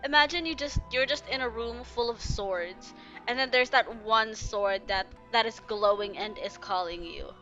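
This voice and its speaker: A woman's voice: fairly high pitch, moderate pace, bright, forceful tone. A young woman giving casual, fan-style reaction commentary on a game, recorded on a slightly dull lo-fi mic. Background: faint game music.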